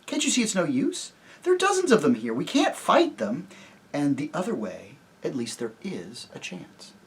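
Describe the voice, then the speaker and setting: A brave young girl as a reader puts her on speaks softly and earnestly, reasoning with her companions. The voice stays quiet but moves lively in pitch. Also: moderate pace.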